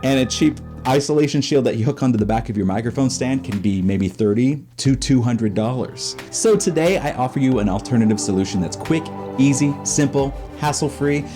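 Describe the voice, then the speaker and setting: A man hosting a DIY show, narrating a scripted tutorial in an upbeat, playful way with chatty asides. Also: mid-range voice. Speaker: host of a DIY creator show.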